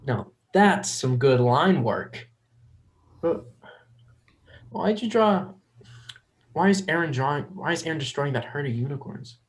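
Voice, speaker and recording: An actor playing a gentle, awkward adult who is trying to befriend a child, speaking hesitantly and earnestly in a dramatic script reading. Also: medium pitch, expressive, unhurried, long pauses, close to an everyday voice. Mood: curious.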